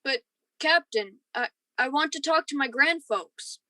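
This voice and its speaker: A woman's voice: high voice, expressive, measured pace, sharp tone, dry recording, full character voice. A woman acting an emotional, eager young male space lieutenant in a radio play, with an earnest, pleading manner. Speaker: actor in a student radio play.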